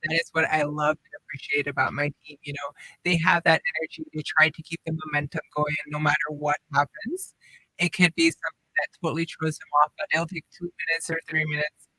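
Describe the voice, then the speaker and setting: A woman's voice: low-pitched, level tone, a little fast, bright, forceful tone, dry recording, poor audio. A young woman from Guyana, raised in the Cayman Islands, answering radio interview questions earnestly and upbeat. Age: young adult. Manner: earnest, upbeat, explains at length. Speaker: hospital operations manager, guest. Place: radio studio.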